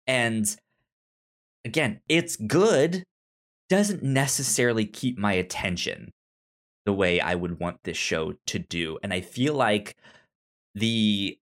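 A man with a lowish voice talks casually as a podcast co-host. He takes his time, very slow in pace, and halts often, stammering and repeating words as he works toward his point. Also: expressive, fairly bright tone. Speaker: podcast co-host reviewing a TV series. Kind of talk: casual discussion.

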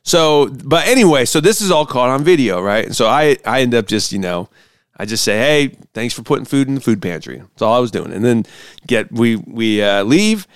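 A man, a pastor and show host, chatting casually and telling stories in an animated way, acting out quotes. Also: medium pitch, normal speed, dry recording, studio quality. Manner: animated, acts out quotes mockingly. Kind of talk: casual chat and storytelling.

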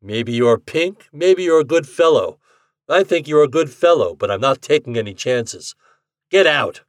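A man voicing a hunted, suspicious gunman in an audiobook, cold and grim, with thick tones and sharp demands. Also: on the high side, animated, normal speed, dry recording, studio quality, pitched above the performer's own voice, close to an everyday voice. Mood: commanding.

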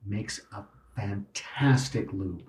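Male shop-owner guest, lowish voice, halting delivery, roomy recording with light noise. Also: a little mellow. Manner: slow, halting explanations with fillers. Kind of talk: casual podcast chat. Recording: echoey room with faint background noise.

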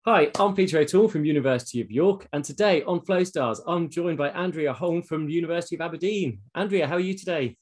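A man's voice: on the high side, fast, hardly any pauses. A man hosting a podcast interview, asking friendly, conversational questions. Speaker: podcast host.

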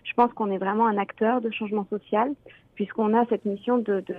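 A woman's voice: mid-range voice, fairly even intonation, fairly quick, dry recording. A young woman from France speaks as a guest on a radio show, earnest and full of hesitations. Her voice comes through a narrow, tinny phone line. The sound is dry, with no room around it. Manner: earnest, many fillers. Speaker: teacher and education student, guest. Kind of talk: radio interview.

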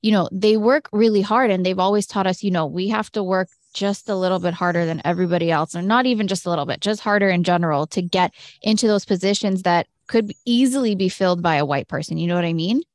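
A woman's voice: on the low side, quick pace, dry recording. A woman hosting an interview, asking questions and responding enthusiastically. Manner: enthusiastic, affirming. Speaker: interviewer, host.

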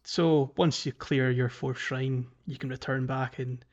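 A man's voice: medium pitch, fairly even intonation, unhurried, darkish tone, highs cut off. A man co-hosting a casual gaming podcast, thinking aloud with some hesitation as he recaps. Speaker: podcast co-host.

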